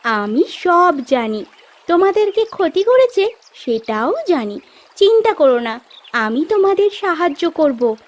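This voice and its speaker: A woman voicing a kind, wise magical golden fish in a cartoon, gentle and reassuring. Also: very high voice, very animated, unhurried, full character voice. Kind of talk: cartoon voice acting.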